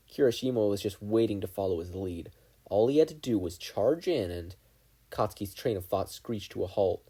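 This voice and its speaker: A man reading a story aloud as scripted narration, with an expressive storytelling manner. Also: on the low side, breathy edge. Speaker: fan fiction podfic reader.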